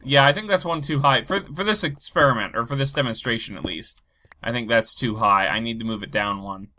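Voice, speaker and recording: A man with a head cold, stuffy and a bit low energy, rambling as he talks through his game and thinks aloud. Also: a little fast, pushed and bright. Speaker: Minecraft let's play host. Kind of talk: casual gameplay commentary.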